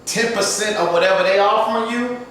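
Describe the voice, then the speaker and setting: Relaxed host in an echoey room. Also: male voice, sits high, a little fast. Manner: short agreeing backchannels, relaxed questions. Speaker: podcast host. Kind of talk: casual interview. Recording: echoey room, distant mic, faint noise.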